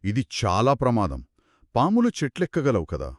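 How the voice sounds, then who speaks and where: A man telling a children's story in a conversational, expressive way, with exclamations and short questions. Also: mid-range voice, moderate pace, no room sound, studio quality.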